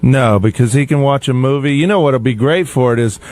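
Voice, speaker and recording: A man's voice: medium pitch, a little fast, darkish tone, faint music behind. A man chatting casually on a radio show, easygoing and matter-of-fact.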